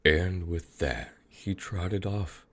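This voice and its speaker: A man narrating a fairy tale as a storyteller, in a measured, atmospheric manner. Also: low voice.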